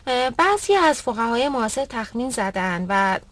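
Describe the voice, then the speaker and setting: Fairly high-voiced pious cartoon mother. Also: very animated, fairly bright tone. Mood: instructive. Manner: didactic, earnest. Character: pious, instructive mother gently correcting her son. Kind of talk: dubbed educational cartoon dialogue.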